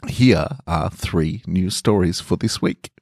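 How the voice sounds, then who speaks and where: A man's voice: low voice, slow pace, vocal fry. A man hosting a story podcast, talking to listeners and reading scripted material. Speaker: podcast host and story writer.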